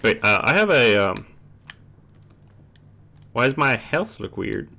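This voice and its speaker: A man's voice: mid-range voice, very animated, takes it slowly, bright, forceful tone. A man hosting a casual gaming stream, chatting and reading game text aloud, often stumbling and rereading words.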